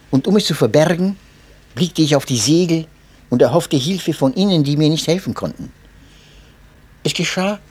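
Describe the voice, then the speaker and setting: A man reading a memoir aloud as narrator, in an expressive and measured way. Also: fairly high pitch, husky. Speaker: audiobook narrator.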